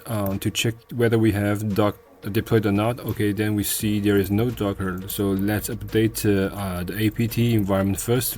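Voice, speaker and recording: A man presenting a step-by-step software tutorial in an unscripted, halting way, pausing to search for words. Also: fairly low pitch. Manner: hesitant, halting delivery with searching pauses.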